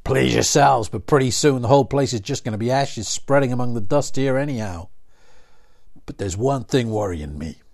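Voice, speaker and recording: A man's voice: medium pitch, a little mellow, close to an everyday voice. A man doing a snarling Wild outlaw. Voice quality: snarling, taunting, mocking. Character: sneering outlaw gang leader, male, cruel and mocking.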